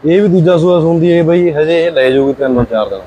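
Punjabi farmer w/ malwai accent selling cows, talks real fast, slightly high, kinda husky. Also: male voice, mellow, muffled recording, slight background noise. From Barnala district, Punjab. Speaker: cattle seller. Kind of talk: answering interview questions.

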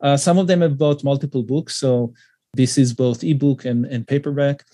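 A middle-aged man, Hebrew speaker, talking over a video call from a room at home. He speaks in a thoughtful, halting way full of fillers and restarts, at an average pitch for a man. The sound is dry and close. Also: fairly even intonation, dark tone, no room sound. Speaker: author guest. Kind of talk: casual interview on a video call. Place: home room with paintings behind him.

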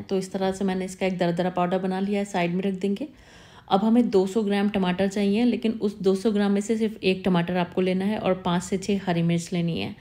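A woman calmly narrating a step-by-step cooking recipe as the host of her channel, repeating tips patiently. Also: mid-range voice.

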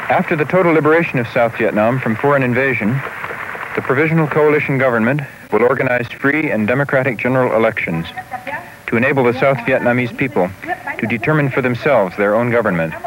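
Guy reading documentary narration, mid pitch, noisy old 16mm film sound.

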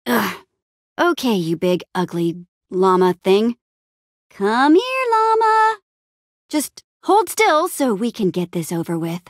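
High-pitched, plucky young adventurer heroine. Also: female voice, takes it slowly, no echo, full character voice. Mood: coaxing, annoyed. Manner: expressive, plucky, playful. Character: spirited young female adventurer and town leader. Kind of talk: video game voice acting.

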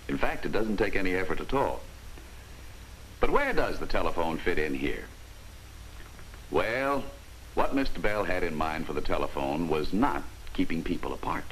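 Deep, animated American narrator man. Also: low-quality recording. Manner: wry, folksy, emphatic. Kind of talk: 1950s training film narration. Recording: hissy 1950s film soundtrack.

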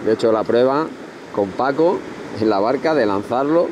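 A man's voice: mid-range voice, lively intonation, moderate pace, muffled recording, background noise. A man with a Spanish accent, fishing from a kayak and chatting to his viewers as he explains his technique. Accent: Spanish from Spain. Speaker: fishing vlogger. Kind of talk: casual on-the-water commentary. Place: outdoors on a kayak at sea.

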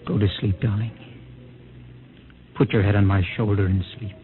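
An actor in a 1950s radio drama playing an urgent, protective young husband with earnest, dramatic delivery, on a noisy old recording. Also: male voice, on the low side, flat delivery, moderate pace, phone-quality sound, soft background music, natural voice. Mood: tender, hushed.

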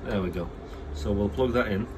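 Low-voiced male repair tech, stuffed up with a cold, walks through a bench test plainly, audible room noise. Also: fairly quick. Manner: plain, explanatory, some restarts. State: has a cold. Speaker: phone repair technician. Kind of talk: casual hands-on repair demo. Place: repair workbench indoors. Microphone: phone camera held over bench.

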